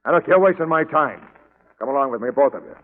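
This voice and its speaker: A man's voice: a little high, lively intonation, fast, close to an everyday voice. Man playing a surly, bullying ship's first mate. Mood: curt, menacing. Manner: gruff, curt, uneducated tough-guy grammar. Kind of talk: 1940s radio acting. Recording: tinny, band-limited 1940s radio recording.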